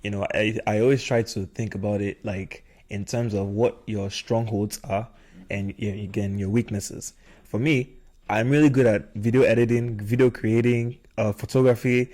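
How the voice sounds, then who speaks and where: Low-pitched young man hosting a podcast. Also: steady tone, moderate pace, a little mellow. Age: young adult. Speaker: podcast host. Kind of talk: casual podcast conversation.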